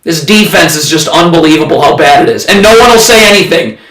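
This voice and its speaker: A man's voice: a little high, lively intonation, fast talker. A man doing live basketball play-by-play reactions on a stream, exasperated and blunt, often raising his voice and repeating himself. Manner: exasperated, ranting, often shouting. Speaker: livestream sports commentator. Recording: roomy echo, distant from mic.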